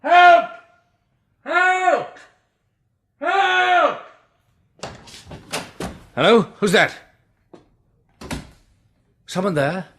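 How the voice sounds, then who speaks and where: An actor playing an agitated British hospital patient, speaking hesitantly and with exclamations in a radio drama. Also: male voice, extremely high pitch, big pitch swings, extremely slow, long pauses, fairly bright tone, slight background noise, exaggerated voice. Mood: panicked. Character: agitated male hospital patient with bandaged eyes.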